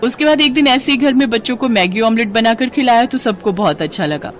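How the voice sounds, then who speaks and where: A woman's voice: on the high side, a little fast, soft background music, lightly acted. A woman voicing a humble, hardworking poor street food seller in a story, earnest and respectful. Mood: humble, grateful. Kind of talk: storybook character acting.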